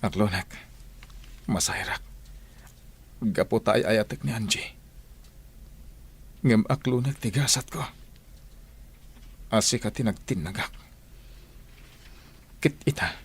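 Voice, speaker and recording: An actor with an Ilocano accent playing a sincere, devoted young suitor in a radio drama, with a heartfelt, measured delivery. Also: male voice, fairly low pitch, slightly rough, exaggerated voice. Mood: resigned, sincere. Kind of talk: Filipino radio drama acting. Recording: faint background noise.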